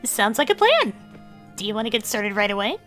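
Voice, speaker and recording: A young woman acting an earnest, friendly and informal young queen in an expressive fan dub. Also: medium pitch, fairly quick, soft background music.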